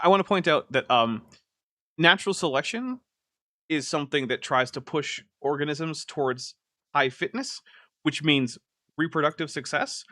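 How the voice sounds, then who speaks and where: A man's voice: fairly high pitch, pushed and bright, no echo, studio quality. A man hosting a live stream, reacting to a video in casual, exasperated commentary full of "like" fillers. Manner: chatty and exasperated, many "like" fillers.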